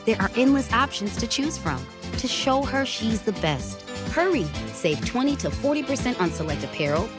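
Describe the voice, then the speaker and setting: A woman reading an upbeat retail commercial with bright, enthusiastic delivery. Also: a little high, expressive, moderate pace. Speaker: ad announcer. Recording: ad with music underneath. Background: music.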